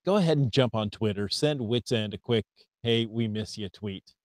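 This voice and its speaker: A man's voice: on the low side, normal speed, darkish tone, no room sound, clean studio sound. Upbeat American man co-hosting a podcast. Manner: upbeat, reads listener emails aloud, stumbles on foreign words. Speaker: podcast co-host. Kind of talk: casual podcast chat.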